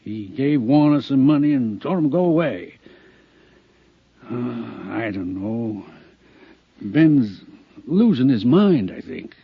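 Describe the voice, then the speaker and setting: A man's voice: medium pitch, very animated, slow pace, pauses often, breathy edge, dry recording, full character voice. A man playing a reluctant, subdued country doctor in an old radio drama. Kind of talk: 1950s radio drama acting. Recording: dull old radio recording.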